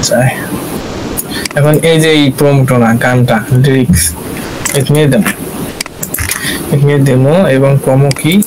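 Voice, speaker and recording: A man giving an informal software tutorial, thinking aloud and often checking in with questions. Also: mid-range voice, normal speed, pauses often. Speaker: tutorial instructor. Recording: clear steady background hiss.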